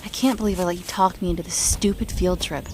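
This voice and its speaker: A woman's voice: lowish voice, fairly even intonation, fast talker, background noise, natural voice. A woman playing a sarcastic, rebellious teenage girl in an audio drama, grumbling and sardonic. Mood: exasperated.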